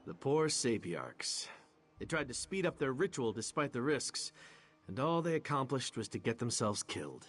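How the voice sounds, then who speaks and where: A man's voice: medium pitch, very animated, moderate pace, a bit bright. A man voicing a disillusioned, impatient warrior in a fantasy video game, speaking bluntly with a wry edge. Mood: grim, doubtful.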